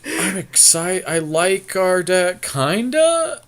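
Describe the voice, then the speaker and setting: Guy on a podcast, informal, kinda thinking out loud while picking cards. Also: sits high, big pitch swings, takes it slowly, clean tone. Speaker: podcast co-host. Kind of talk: casual chat during a card game draft.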